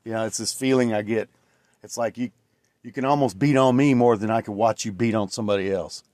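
A man in his late forties talks through his own solo podcast in a low voice. He rambles and reflects, with plenty of fillers and restarts. The sound is close and dry, with no room echo. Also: fairly even intonation, brisk, darkish tone. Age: 40s. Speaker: podcast host. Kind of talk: casual solo podcast monologue.

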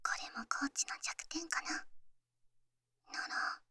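A woman playing an affectionate, teasing young athlete girlfriend in an intimate ASMR voice drama, speaking softly and often whispering close to the mic. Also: dry recording. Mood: gentle, teasing. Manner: soft, slow, often whispered, gently teasing. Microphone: close-up studio mic, dry.

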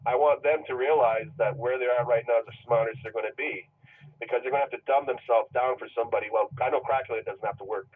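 Husky man joking down a phone line. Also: medium pitch, fairly even intonation, fast talker. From Jamaica. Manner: rambling, joking storyteller. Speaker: indie film director. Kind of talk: casual phone interview. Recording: tinny distant phone line.

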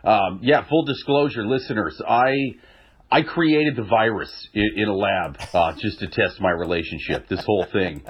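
A young man with a cold and a nasal voice, chatting casually on a podcast with dry deadpan jokes. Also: lowish voice, normal speed, breathy edge. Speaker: podcast co-host. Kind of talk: casual chat. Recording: dull lo-fi audio.

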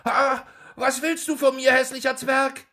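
A man's voice: very high-pitched, flat delivery, measured pace, pushed and bright, voice pushed up, heavily acted. A man voicing an angry older market woman who scolds shrilly in a storybook reading. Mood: furious.

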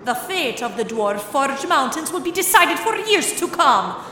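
A composed, stately fantasy lady, speaks slowly in an audio drama. Her voice is high, with clear music beneath it. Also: very animated. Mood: solemn.

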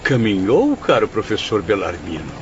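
A mocking, mannered gentleman in a radio play. The pitch swings very widely, and the voice sits back from the mic in a muffled recording. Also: very animated, moderate pace. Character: sarcastic male companion needling a nobleman. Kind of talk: radio drama acting. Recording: muffled, distant, noisy street scene.

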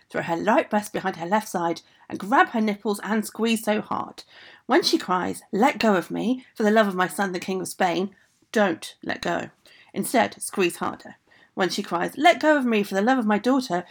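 Woman reading a quick, scheming young ogress daughter. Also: medium pitch, slightly rough. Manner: brisk instructions, hushed whispering asides.

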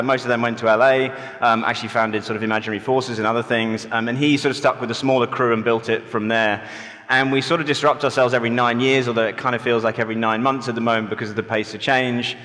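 A man speaking as a conference panelist, relaxed and rambling, full of ums and sort-ofs as he tells his agency's history. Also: mid-range voice. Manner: relaxed, rambling with many fillers. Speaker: panelist, agency managing director. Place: conference hall stage. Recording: hall reverb.

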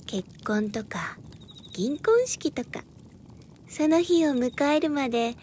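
A woman acting as a worldly, self-assured adviser in an audio drama, speaking in a deliberate, persuasive, feminine way. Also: high voice, lively intonation, darkish tone, slight background noise. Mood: gentle, advising.

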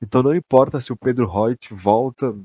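A Brazilian man speaks in an average-pitched voice as a podcast co-host. His delivery is informal and conversational, the sound of someone theorizing aloud with a friend. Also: fairly quick, warm tone, dry recording, highs cut off. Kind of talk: casual chat, theorizing about a series.

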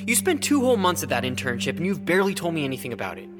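A man's voice: fairly high pitch, very animated, very fast, music in the background. An actor playing a young college man, a caring boyfriend, in a naturalistic audio drama. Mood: concerned, pressing.

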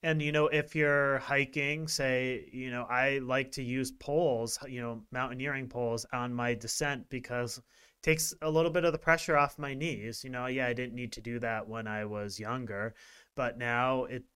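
Brief: male podcast co-host, rambling casual talk, smooth clear voice. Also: mid-range voice, normal speed. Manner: relaxed, rambling with many fillers. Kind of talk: casual chat.

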